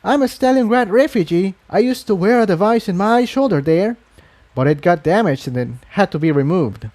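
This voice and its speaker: Man doing a high-pitched, prickly young mare. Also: expressive, normal speed, a little mellow. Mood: apologetic, earnest. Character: proud, prickly young female unicorn refugee.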